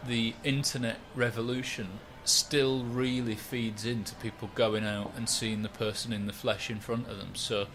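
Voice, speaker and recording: A man, a stand-up comedian chatting in a relaxed, rambling, self-mocking way. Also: medium pitch, moderate pace, hardly any pauses, a little hiss or hum. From West Yorkshire, England. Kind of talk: relaxed interview chat.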